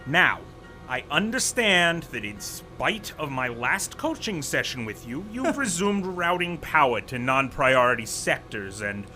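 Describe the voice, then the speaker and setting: A man's voice: medium pitch, sing-song, a little slow, sharp tone, soft background music, heavily acted, poor audio. A man playing a pompous, exasperated corporate vice president in an audio drama, speaking in a formal, condescending, officious way. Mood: stern, officious.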